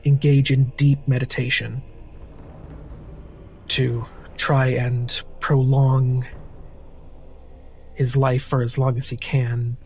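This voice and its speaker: Male tabletop player, level intonation, unhurried, hesitant improvised narration, mid pitch. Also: darkish tone. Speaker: player in a tabletop roleplaying game.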